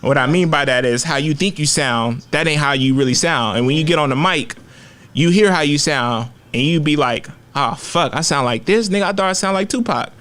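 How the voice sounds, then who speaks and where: A man's voice: a little high, a little fast, a bit bright. A man, talking candidly and with plenty of profanity and slang as a rapper guest in a casual interview. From Oakland, California.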